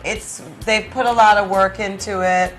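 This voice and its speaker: A woman's voice: mid-range voice, steady tone, moderate pace, fairly bright tone, faint music behind, low-quality recording. A woman, a teacher, talking casually as a guest in an interview. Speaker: teacher, talk show guest.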